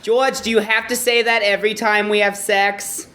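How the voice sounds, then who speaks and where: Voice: mid-range voice, flat delivery, normal speed, pushed and bright, natural voice. A performer voicing an exasperated, lustful female toy hippo in a live comedy story reading. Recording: noisy live room recording.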